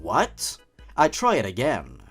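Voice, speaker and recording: A man hosts a story reading in a wildly animated, swooping voice. He delivers it with a sarcastic, mocking edge, slipping between narration and wry commentary. Faint music plays behind him. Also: medium pitch, slow pace, slightly rough. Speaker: host reading and commenting on online horror stories.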